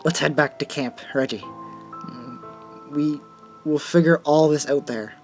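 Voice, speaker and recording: A man's voice: on the high side, unhurried, music in the background. A man playing an earnest, uneasy teenage boy, a conscientious friend, in a radio drama. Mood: grave, stern.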